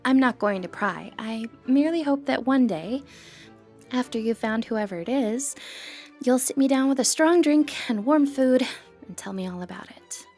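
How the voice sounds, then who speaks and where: Woman acting a flirtatious high-voiced lady thief. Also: animated, normal speed, darkish tone. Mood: warm, confiding. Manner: playful, teasing, genteel old-fashioned phrasing. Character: flirtatious, confident lady thief of noble upbringing. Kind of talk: ASMR fantasy romance roleplay acting. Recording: background music under voice.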